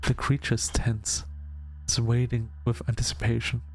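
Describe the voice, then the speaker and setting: A man reading a visual novel aloud as narration, with casual asides and some halting restarts. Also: mid-range voice, completely flat delivery, rough recording.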